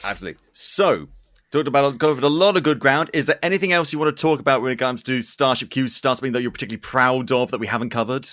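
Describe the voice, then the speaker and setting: A man's voice: medium pitch, fast, a bit bright, no echo. A man hosting a podcast in a casual, chatty way, enthusiastic and emphatic, given to swearing. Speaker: podcast host.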